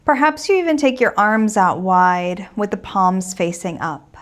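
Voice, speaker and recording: A woman teaching a guided meditation in a gentle, soothing and reassuring manner. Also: medium pitch, unhurried.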